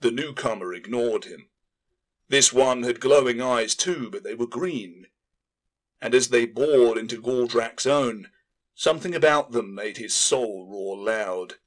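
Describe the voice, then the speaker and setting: A man's voice: mid-range voice, measured pace, poor audio. Man narrating dramatic fantasy audiobook.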